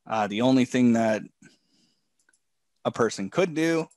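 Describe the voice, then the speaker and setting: A man coaching a live trading session from a home office, talking in a relaxed way with many fillers, a bit hoarse and often clearing his throat. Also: mid-range voice, measured pace, no room sound. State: losing his voice.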